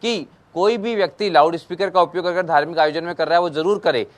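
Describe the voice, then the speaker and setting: Higher-voiced man reporting live news. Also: quick pace. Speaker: television news correspondent.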